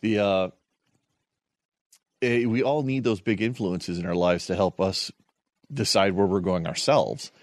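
A man's voice: sits low, measured pace, long pauses, no room sound, studio quality. A man co-hosting a podcast in casual chat, rambling and self-deprecating, with many restarts. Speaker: podcast co-host.

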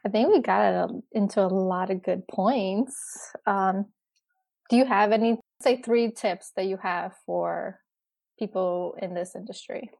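A woman's voice: medium pitch, measured pace, vocal fry, a little mellow. A woman hosting a casual interview, chatting informally and agreeably with her guest. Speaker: host, interviewer. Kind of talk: casual chat.

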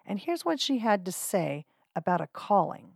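A woman's voice: medium pitch, sing-song, moderate pace, vocal fry, slightly rough, no echo. Dry-sounding upbeat woman podcast host. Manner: upbeat, friendly, with casual fillers. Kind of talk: podcast narration with intros.

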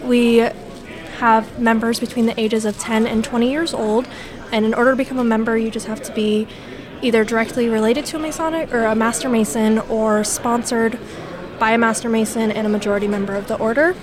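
A woman in her twenties explains things in an informative, matter-of-fact way, with an occasional filler. Her voice sits slightly high. A loud crowd chatters in the hall behind her. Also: fairly even intonation, a little fast. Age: 20s. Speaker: youth organization officer. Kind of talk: informative interview answers.

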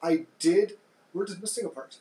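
A man with a deep voice co-hosting a podcast in casual chat, soft-spoken and hesitant, often restarting his sentences. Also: lively intonation, normal speed, reverberant. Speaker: podcast co-host.

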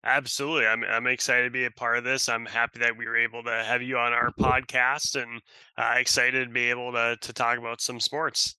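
A man's voice: mid-range voice, flat delivery, fairly quick, bright, forceful tone, clear, resonant tone, no room sound, rough recording. A man from Russia giving casual, rambling interview answers as a podcast guest. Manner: rambling, peppered with "you know". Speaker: podcast guest, sports franchise sales and service executive.

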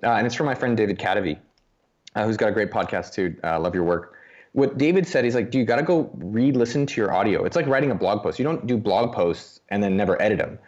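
Animated fast-talking young man. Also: on the low side. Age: young adult. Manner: animated, swearing, self-mocking. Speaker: podcast guest. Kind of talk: casual podcast chat. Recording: dull lo-fi audio.

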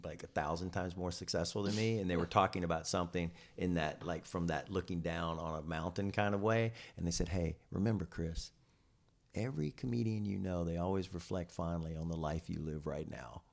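A man with a low, creaky voice talks casually as a guest on a podcast. He rambles through his stories in a self-deprecating way, with plenty of gravel in the tone. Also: steady tone, fairly quick. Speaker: podcast guest, stand-up comedian and writer. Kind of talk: casual chat.

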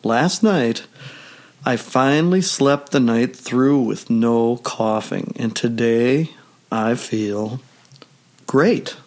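A man with a rough, hoarse voice left over from a cold, speaking at a middle pitch. He hosts a solo podcast, talking to his listeners in a steady, measured way with deliberate pauses. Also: slight background noise. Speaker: podcast host. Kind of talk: solo health podcast monologue.

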